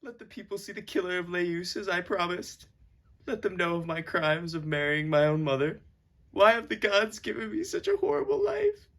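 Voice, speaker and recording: A man's voice: sits high, big pitch swings, moderate pace, fairly bright tone. A man playing an anguished, self-loathing king, lamenting in declamatory amateur puppet-show style. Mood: despairing proclamation.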